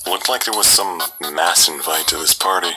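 A man's voice: low voice, normal speed, lightly acted. A man in a comic dub voicing a nonchalant, wry skeleton monster with a scheming streak. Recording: music clearly behind the voice.

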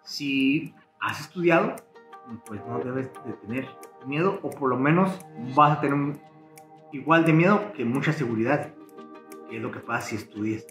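A man, giving earnest advice to camera as a barber instructor, pausing often with fillers and restarts. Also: mid-range voice, animated, fairly bright tone, roomy sound, faint music behind. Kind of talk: casual explanatory talk to camera. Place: living room at home, indoors.

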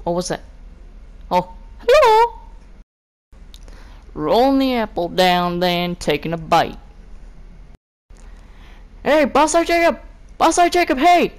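Woman with wildly swinging pitch, slow delivery. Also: sing-song. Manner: exclamatory, clipped catchphrases. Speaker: gaming video creator. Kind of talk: playful improvised voice-over of game character animations.

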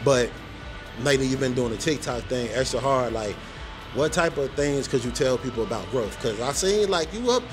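A laid-back man hosts a casual chat, joking around and speaking in loose slang. Music plays clearly behind his voice. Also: medium pitch, lively intonation, normal speed. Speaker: vlog host.

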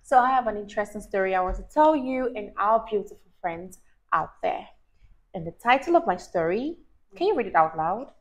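A young woman reading a story aloud to a child, expressive and teacher-like, emphasising words and asking questions. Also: medium pitch, fairly quick. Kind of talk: animated read-aloud to a child. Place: small indoor room, on a sofa. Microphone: clip-on lapel mic.

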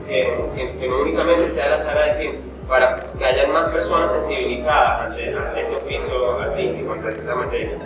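A young man speaks at a press conference in a mid-pitched voice, proud and promotional in tone. He sits some distance from a table mic, in a very echoey room. Loud music runs underneath. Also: moderate pace, few pauses. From Venezuela. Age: young adult.

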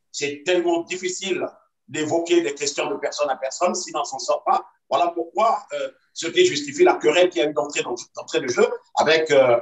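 Man on a distant echoey video call. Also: a little high. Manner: measured, hesitant with many fillers, insistent. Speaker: party activist guest. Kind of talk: political debate on video call. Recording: roomy echo, distant from mic.

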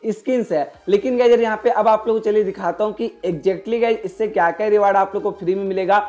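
A man's voice: high voice, fast talker, mellow. A man doing a casual explainer voice-over as a gaming host, repeatedly addressing his viewers. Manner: repetitive, addresses viewers constantly as guys.

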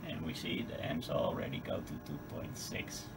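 A man with a Dutch accent and a gravelly voice gives an unscripted, halting hands-on equipment demonstration. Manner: halting, hesitant phrasing with repeated words. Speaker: hobbyist demonstrator. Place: indoor electronics workbench. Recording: clearly noisy room recording.

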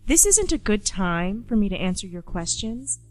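A woman acts as a scary captor who stays calm and polite. Her voice has a middle pitch and a composed, menacing manner, with music behind it in a radio drama. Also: moderate pace, close to an everyday voice. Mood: controlled, probing. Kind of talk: radio play acting.

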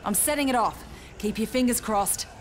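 A woman's voice: a little high, moderate pace, slight background noise, lightly acted. An actress playing a tough, foul-mouthed woman survivor in a zombie game, with a blunt, brash manner. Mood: determined. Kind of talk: video game cutscene acting.

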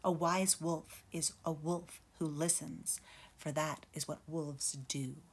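A kindly male wolf uncle in a storybook, as a woman reading aloud puts him on. He talks slowly and softly, often sinking into a breathy hush. His tone is calm and a little low. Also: full character voice. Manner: slow, hushed, often whispering. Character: gentle wise male wolf uncle teaching cubs.

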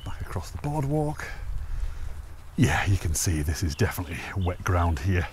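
A man's voice: sits low, very animated, normal speed, a little mellow, slight background noise. A man in his thirties narrating a hike outdoors, chatty and enthusiastic, filming himself at arm's length on a handheld camera. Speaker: hiking vlogger. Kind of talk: casual walking commentary. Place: outdoors on open fells and woodland paths.

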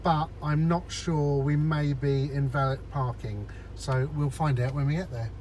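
A man's voice: a little high, steady tone, a little slow, darkish tone. Chatty man narrating from a car. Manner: chatty, explains each step to viewers. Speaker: vlogger. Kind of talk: casual narration while driving. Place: inside a car in traffic. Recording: in-car recording with road noise.

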